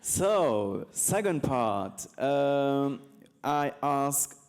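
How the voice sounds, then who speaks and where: A man's voice: mid-range voice, very animated, extremely slow, clean tone. Joking conference moderator, a man. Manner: loose, joking, filler-heavy. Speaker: panel moderator. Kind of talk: casual moderating. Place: conference stage.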